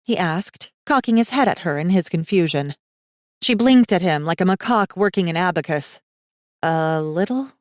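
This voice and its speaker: A woman narrates a fantasy audiobook in a scripted storytelling style. Her voice sits a little low, recorded close and dry with no room sound. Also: lively intonation, moderate pace, no room sound.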